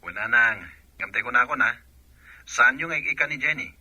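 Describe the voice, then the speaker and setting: A man's voice: medium pitch, normal speed, bright, forceful tone, husky, no room sound, muffled recording. An actor playing a jealous soldier husband who talks bitterly and accuses his wife. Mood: chatty.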